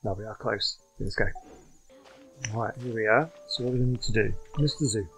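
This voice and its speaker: A man casually commentating on a video game as he plays, in a rambling, halting way. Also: lowish voice, fairly bright tone, soft background music, rough recording. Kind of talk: casual game commentary, reading dialogue aloud.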